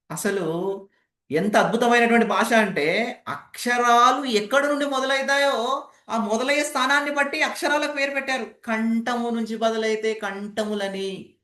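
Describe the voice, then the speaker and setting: A man's voice: high-pitched, a bit bright. A man leads an interactive training session as a speaking coach, explaining emphatically and putting rhetorical questions to participants. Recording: echoey, roomy sound.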